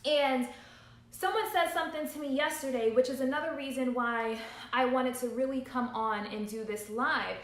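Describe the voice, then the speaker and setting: A woman in her thirties speaking as a business coach in a motivational livestream, with a fairly high voice. She is earnest and emphatic and drives her points home by repeating them. The sound is roomy and echoey. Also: normal speed. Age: 30s. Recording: roomy echo.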